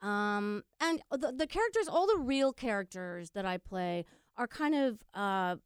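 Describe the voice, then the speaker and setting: A woman, an actress, chatting as an interview guest, joking and a little halting. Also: medium pitch, animated, clean tone, dry recording, studio quality. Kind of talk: casual interview chat.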